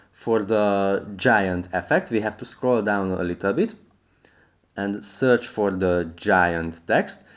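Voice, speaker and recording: Guy doing an app how-to voiceover, kinda low voice. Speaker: tutorial host. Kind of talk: instructional app tutorial voice-over.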